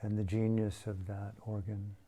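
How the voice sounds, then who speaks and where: A man leading a guided meditation as a teacher, speaking in a hushed, deliberate way with long pauses between short phrases. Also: lowish voice, little pitch movement.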